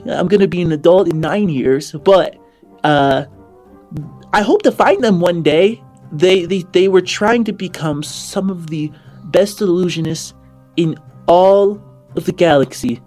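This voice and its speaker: A man's voice: high-pitched, darkish tone, voice pushed up. A man voicing an eager, hopeful young orphan child in a tabletop game, over music.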